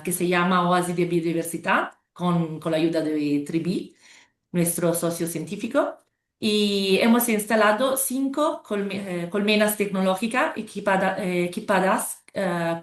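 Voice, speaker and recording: A woman's voice: mid-range voice, reverberant. A woman from Italy with an Italian accent, presenting a project on a webinar call, speaking hesitantly with many fillers and restarts. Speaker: webinar panelist. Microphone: video call.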